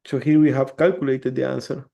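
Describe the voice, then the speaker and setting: Brief: male developer, gravelly and warm, explains code step by step. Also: mid-range voice, steady tone, brisk, highs cut off. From El Salvador. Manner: explains step by step, restarts words. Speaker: developer presenting a demo. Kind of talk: screen-share tech demo.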